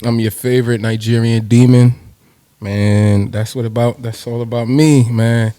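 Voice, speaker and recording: A man's voice: mid-range voice, fairly even intonation, measured pace, mellow, smooth, no echo. A young man from New Jersey, a rapper, chatting casually as an interview guest with slang and swearing. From New Jersey, USA. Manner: laid-back slangy, swears freely, laughs.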